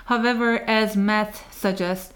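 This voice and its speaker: A woman with a mid-pitched voice reads prepared narration as a presenter. Her delivery is that of a scripted link between speakers in a discussion. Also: fairly even intonation, unhurried.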